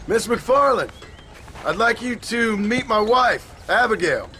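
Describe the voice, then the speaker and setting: A man's voice: high-pitched, lively intonation, slow pace, bright, forceful tone, exaggerated voice. An actor playing a laconic, dryly joking rancher and family man in a Western game, with a rural American accent. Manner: dry, understated. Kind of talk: dramatic game cutscene acting. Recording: outdoor noise, birds and animals behind.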